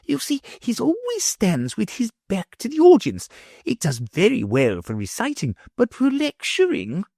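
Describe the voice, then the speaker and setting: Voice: mid-range voice, wildly swooping pitch, normal speed, darkish tone, no room sound, studio quality, voice pushed up. A storybook reader voicing a meek, flustered old male professor who talks hesitantly.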